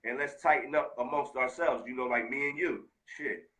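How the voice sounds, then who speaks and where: A man from the United States speaking heartfelt and animated in a casual conversation, full of street slang. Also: medium pitch, normal speed, rough recording.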